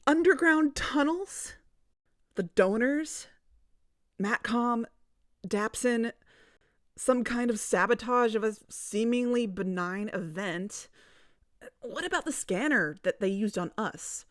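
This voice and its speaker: A stubborn skeptic in an audio drama. Her voice sits a little high in a very dry, close recording. She questions everything in a pointed, challenging way. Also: expressive, slow pace, pauses often, no echo. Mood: incredulous. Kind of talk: scripted audio fiction dialogue.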